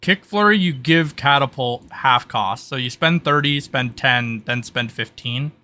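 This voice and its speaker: A man's voice: medium pitch, a little slow, bright, forceful tone. A man running a tabletop game as game master, casually talking through rules and turns.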